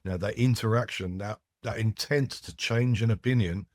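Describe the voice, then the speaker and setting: A man's voice: lowish voice, normal speed, dry recording, studio quality. A man whose first language is French, a podcast guest explaining his ideas with frequent restarts and asides. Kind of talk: casual conversational interview.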